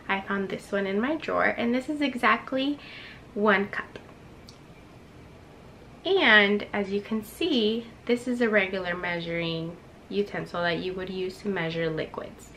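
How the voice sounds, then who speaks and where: A woman's voice: mid-range voice, a bit bright. Young woman explaining to camera, some background noise. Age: young adult. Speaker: cooking demo host, health educator. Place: indoors at home, kitchen or plain room. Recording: clear background room noise.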